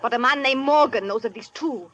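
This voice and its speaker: A melodramatic heiress, played by a woman in an old radio comedy-mystery. Her voice is high and theatrical, formally phrased and full of fearful urgency, over clearly audible recording noise. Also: a little fast, fairly bright tone, highs cut off, close to an everyday voice. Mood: fearful, pleading. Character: melodramatic, desperate heiress, fearful and fiercely possessive. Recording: noisy old radio broadcast.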